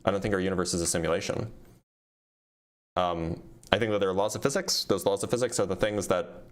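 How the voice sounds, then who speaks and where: A man's voice: sits low, brisk. A man physicist reading viewer questions aloud on a casual livestream and answering them plainly, with frequent ums, as he starts to lose his voice.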